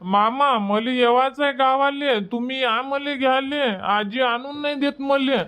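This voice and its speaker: A man's voice: extremely high pitch, steady tone, a little slow, pushed and bright, smooth, full character voice. A man voicing a stubborn, whiny little boy in a cartoon comedy, pleading insistently with a Varhadi Marathi accent.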